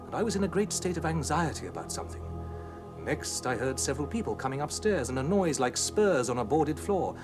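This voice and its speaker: Man playing a troubled, genteel husband, expressively pitched. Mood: weary, troubled. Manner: earnest, discursive, precise. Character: troubled genteel English husband recounting nightmares.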